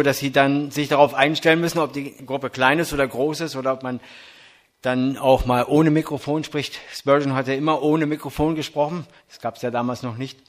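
A man's voice: mid-range voice, a bit bright. Older guy w a bit of a berlin accent preaching in church, earnest, lots of ums. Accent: light Berlin. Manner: earnest, measured, with frequent hesitations. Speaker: traveling preacher. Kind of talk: sermon with Bible reading. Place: church hall.

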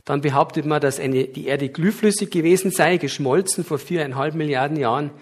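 A man's voice: fairly high pitch, brisk, slightly rough. A middle-aged man with a light Bavarian accent giving a public lecture, explaining earnestly with occasional hesitations. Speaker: guest lecturer.

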